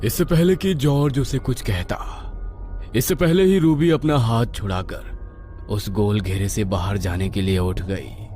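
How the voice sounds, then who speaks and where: A man's voice: fairly low pitch, a little slow, mellow, soft background music. A man narrating a scripted horror story in a suspenseful, dramatic manner.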